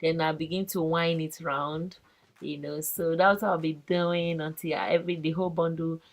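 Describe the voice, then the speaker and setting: A woman casually explaining a hair tutorial as she works, halting and full of "you know". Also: low-pitched, steady tone. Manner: halting, filler-heavy demonstrating. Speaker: hair vlogger, host.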